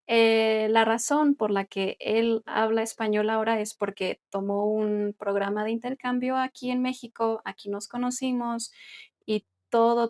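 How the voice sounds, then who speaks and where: A woman's voice: on the high side, darkish tone. A Mexican woman who teaches Spanish, co-hosting a casual podcast and explaining things in a chatty way with light laughs. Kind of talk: casual conversational podcast chat.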